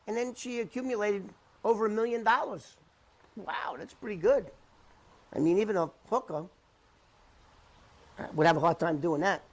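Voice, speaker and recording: A middle-aged man talking unscripted to the camera in an emphatic, repetitive, halting way as he rebuts claims. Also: high voice. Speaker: interview commentator debunking someone's claims.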